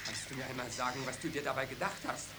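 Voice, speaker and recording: A man's voice: mid-range voice, fairly even intonation, fast, bright, forceful tone. An actor playing a stern, authoritarian father in a German radio drama, commanding and emphatic. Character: stern authoritarian father demanding obedience and study. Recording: long hall reverb, light noise.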